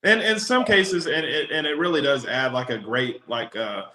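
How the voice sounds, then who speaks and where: A raspy-voiced man, a country singer, talks easily as a guest, with lots of fillers. His voice has a bright, forceful edge, and it rings in an echoey room. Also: fairly high pitch, moderate pace. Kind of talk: casual interview chat.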